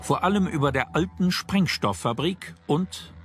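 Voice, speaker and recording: A man's voice: on the high side, lively intonation, a little slow. A man reading scripted documentary narration as the narrator. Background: music.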